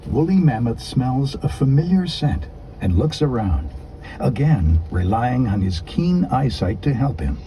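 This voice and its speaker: A man narrating a children's storybook in an expressive, deliberate, scripted storytelling delivery. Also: medium pitch, slight background noise, poor audio. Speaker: audiobook narrator.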